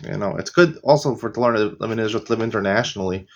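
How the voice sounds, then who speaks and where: A middle-aged American man teaching a casual daily Talmud lesson, rambling into opinionated asides and often clearing his throat. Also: fairly low pitch, very fast, lo-fi sound. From United States. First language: English.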